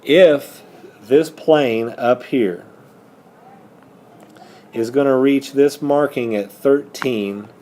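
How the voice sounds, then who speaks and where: A man's voice: mid-range voice, very slow, pauses often, a little mellow, slight background noise. A man teaching a math lesson step by step, posing questions and then answering them himself. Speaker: high school math teacher.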